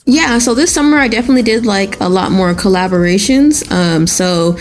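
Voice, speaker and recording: A woman's voice: mid-range voice, animated, fairly quick, faint music behind. A woman from Nigeria, a hip hop artist guest in an interview, talking in a chatty, enthusiastic way with lots of fillers. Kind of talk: casual interview talk.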